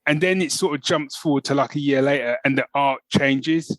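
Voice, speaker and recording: A man's voice: medium pitch, moderate pace, dry recording. A middle-aged British man co-hosting a podcast in an informal, chatty way, peppering his talk with matey asides. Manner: informal, often says man and you know. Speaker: podcast co-host. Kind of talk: casual chat.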